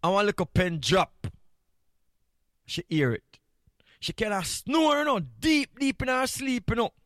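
A Jamaican man talks casually as a morning radio host, his voice sitting a little high. He is playful and candid, often repeating a phrase to drive it home. The sound is dry, with very little room on it. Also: very animated, measured pace, a bit bright, no echo.

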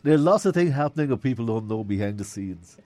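A man with a Guyanese accent and a hoarse voice, hosting a call-in radio show and chatting earnestly with a caller. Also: mid-range voice, expressive, quick pace, a little mellow, no echo. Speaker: radio host. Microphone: studio microphone.

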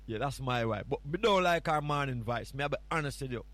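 A man's voice: medium pitch, lively intonation, brisk, fairly bright tone, dry recording. Playful Jamaican man hosting a morning show. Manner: playful, rambling, teasing. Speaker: stand-in radio show host. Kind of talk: casual morning show chat.

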